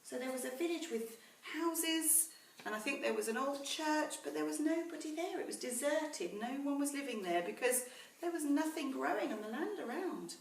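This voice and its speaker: A woman reading a story aloud to children in a teacherly way, pausing to explain words and ask questions. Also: high voice, lively intonation, creaky. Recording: roomy echo, distant mic, faint noise.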